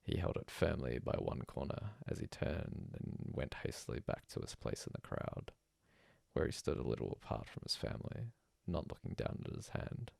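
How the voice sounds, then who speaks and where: Very deep, gravelly male storyteller. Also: very animated, normal speed, darkish tone, no room sound. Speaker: storyteller reading aloud. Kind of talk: scripted bedtime story narration.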